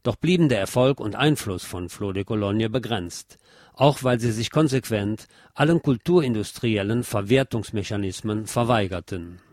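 A man presenting a music history programme in scripted, measured and articulate documentary narration. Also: lowish voice, darkish tone. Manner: measured, articulate lecturing delivery.

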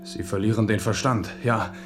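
An actor playing a proud, brooding French king in a radio drama, speaking in a measured, rhetorical way. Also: fairly low pitch, fairly even intonation, over music, close to an everyday voice. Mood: grave, self-reproaching. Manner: measured, rhetorical, self-questioning. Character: King Henri of France, brooding, proud, forceful warrior. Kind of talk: German radio drama acting.

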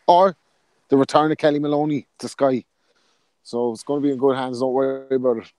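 A man's voice: mid-range voice, fairly even intonation, normal speed, a little mellow, clean tone, no room sound. A man co-hosting a casual podcast, cracking jokes and laughing at his own quips. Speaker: podcast co-host. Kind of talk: casual podcast chat about boxing.

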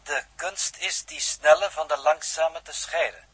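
Male Dutch renegade scientist, 1960s radio drama: formal, precise, enigmatic, slightly raspy, recorded dry. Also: a little high, a little slow, a bit bright, dry recording. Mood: lecturing. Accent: Netherlands Dutch. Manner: pedantic, elaborately polite, precise.

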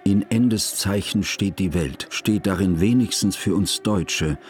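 A man's voice: deep voice, a little slow, a little mellow, soft background music. A man playing a grave, bookish, sorrowful older chronicler, narrating formally and solemnly in a literary radio play. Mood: sombre, despairing.

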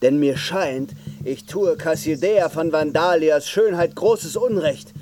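Ein Schauspieler spielt in einem Hörspiel einen hochmütigen rivalisierenden Ritter, der förmlich und blumig spricht. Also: male voice, fairly high pitch, slow. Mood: haughty. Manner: formal, flowery, archaic speech. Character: haughty male rival knight, mock-courtly challenger. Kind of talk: radio play acting.

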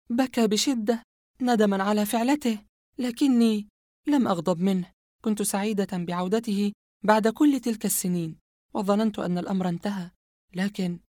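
A narrator voicing a mocking young woman in a horror audiobook, scornful and cold as she confesses a dark secret. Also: medium pitch, dry recording, studio quality, voice pushed up, lightly acted.

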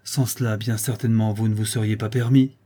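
A man's voice: on the low side, level tone, fairly quick, dark tone, breathy edge. A man voicing a placid young woman, a humble dependent in a storybook, speaking politely with a touch of condescension. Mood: offended, composed.

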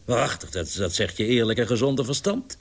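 A man's voice: on the low side, expressive, normal speed. A man voicing a big, boisterous, doting pigeon owner in a storybook, exclaiming and coaxing with plaintive indignation. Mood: indignant.

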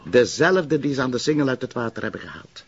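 An actor playing a principled police officer in a crime drama, measured and dryly ironic, with a Flemish accent. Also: male voice, mid-range voice, animated, breathy edge. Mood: businesslike. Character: principled, patient male police officer, mildly reproachful colleague. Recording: muffled drama soundtrack.

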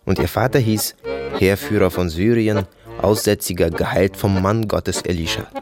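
Casting: brooding, detached male narrator for audio drama, low register, terse fragments, sudden emphasis, music underneath. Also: steady tone, moderate pace. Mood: detached, evasive.

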